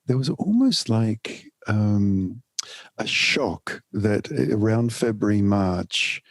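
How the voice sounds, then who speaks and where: A middle-aged man from the United States, a family counselor, speaking thoughtfully in a podcast interview with many pauses and restarts. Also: on the low side, expressive, no echo.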